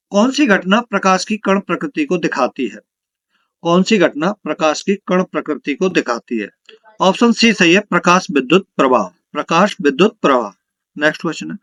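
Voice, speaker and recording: A man's voice: a little high, a bit bright, no echo. A man teaching an exam lesson, reading out questions and answers and repeating them for emphasis.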